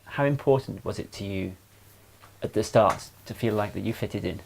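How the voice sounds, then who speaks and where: A man's voice: lowish voice, normal speed, vocal fry, a little mellow. Man interviewing in an echoey room. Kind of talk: interview questions. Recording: echoey room with faint noise.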